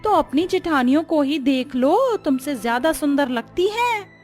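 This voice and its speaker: A vain young neighbour woman in a cartoon, put on by a female voice artist, catty and teasing. She speaks in a high voice over faint music. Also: expressive, measured pace, a little mellow. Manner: catty, showing off. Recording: music under the voice.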